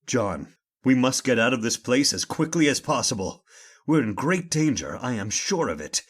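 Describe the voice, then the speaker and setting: A man playing an urgent, commanding gentleman master in an old-time radio horror drama. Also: medium pitch, sing-song, moderate pace, slightly rough. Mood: tense, urgent. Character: urgent, commanding gentleman trapped with his servant.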